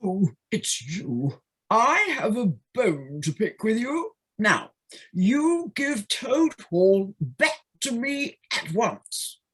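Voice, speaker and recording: A woman's voice: a little high, big pitch swings, very slow, slightly rough, heavily acted. A woman playing a boastful, gullible, easily indignant male toad in an amateur audio play, with a self-important manner.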